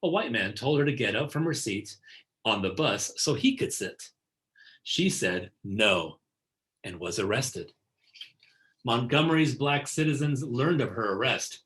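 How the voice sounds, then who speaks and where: A man reads a picture book aloud for children in a medium-pitched voice. His delivery is deliberate and scripted, with key words given clear emphasis. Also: big pitch swings, a bit bright. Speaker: read-aloud narrator.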